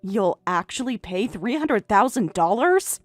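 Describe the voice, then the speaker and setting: A woman voicing a calm young wife who is unbothered by her husband's affair, speaking flat, quiet and deadpan in a voiced story drama. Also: mid-range voice, unhurried, dry recording, faint music behind.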